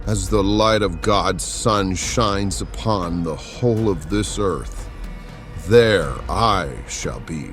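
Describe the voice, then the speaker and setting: A man's voice: low-pitched, takes it slowly, music in the background. A man voicing a menacing, arrogant medieval cardinal in an audiobook, speaking in a commanding, pompous way. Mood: cold menace. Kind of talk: dramatic audiobook character acting.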